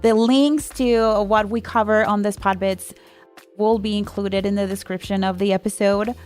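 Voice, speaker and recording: A woman's voice: medium pitch, fairly even intonation, normal speed, a little mellow, soft background music. A woman co-hosting a podcast, giving recommendations and announcements in a casual style. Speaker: podcast co-host.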